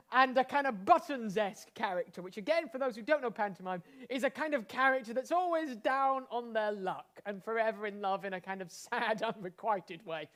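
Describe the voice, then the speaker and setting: A woman presenting a comic stage show, explaining things to the audience in a wry way. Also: sits high. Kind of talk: comic live narration to an audience.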